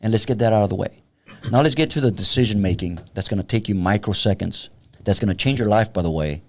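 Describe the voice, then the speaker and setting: A man's voice: lowish voice, quick pace. A man in his fifties from San Antonio, a guest and tactical trainer talking in a wry, plain-spoken way on a podcast. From San Antonio, Texas. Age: 50s. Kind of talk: casual podcast conversation.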